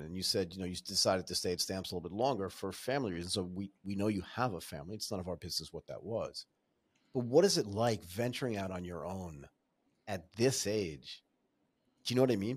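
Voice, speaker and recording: A man's voice: sits low, breathy edge. A man in his fifties hosting an interview, asking long, rambling questions in a joking, conversational manner. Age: 50s. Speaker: podcast host.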